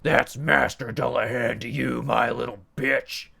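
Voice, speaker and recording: A man voicing a huge, domineering headless monster in bone armor, with a gruff, bellowing delivery. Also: mid-range voice, fairly even intonation, a little slow, pushed and bright, voice pushed up, exaggerated voice. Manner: bellowing and bossy.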